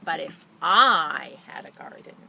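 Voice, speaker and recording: A woman who works as a teacher librarian, talking and reading a picture book to children in an expressive, enthusiastic way. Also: sits low, slow, vocal fry, a little hiss or hum, low-quality recording. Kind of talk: children's story read-aloud with casual introduction.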